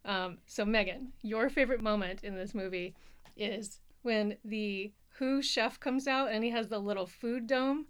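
A woman's voice: a little high, a little slow, smooth. A woman in her thirties co-hosting a casual chat podcast, playful and laughing often. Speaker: podcast co-host, pattern maker.

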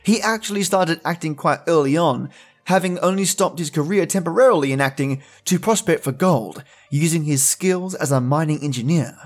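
Higher-pitched male podcast host. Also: moderate pace. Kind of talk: conversational podcast commentary to listeners.

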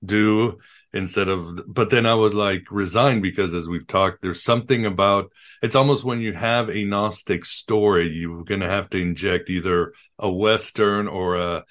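Podcast host guy, lowish voice, chatty and into it. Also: steady tone, normal speed, fairly bright tone. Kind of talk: casual podcast conversation.

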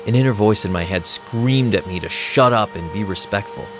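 Casting: male actor as slangy, self-doubting vampire DJ narrator, lowish voice, audio drama with light music underneath. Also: moderate pace, lightly acted. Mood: wry narrating. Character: anxious, wisecracking young male vampire DJ. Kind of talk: first-person audio drama narration and dialogue.

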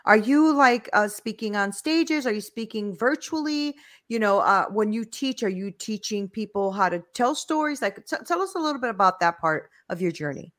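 A woman hosting an interview podcast, encouraging her guest with steady affirmations and asking questions. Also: medium pitch, moderate pace. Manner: encouraging, frequent affirmations, preachy asides. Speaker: podcast host. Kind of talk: casual interview talk.

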